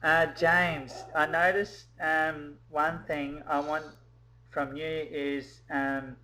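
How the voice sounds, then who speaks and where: A man's voice: on the high side, flat delivery, slow, a crowd in the background, poor audio. A man in a casual discussion who asks a question hesitantly, with many ums.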